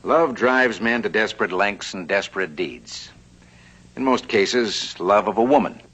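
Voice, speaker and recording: A man's voice: fairly low pitch, a little slow, fairly bright tone, husky. A man narrating a vintage TV drama and playing a flamboyant, genial 1860s banker. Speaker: host and actor. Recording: muffled old TV soundtrack with hiss.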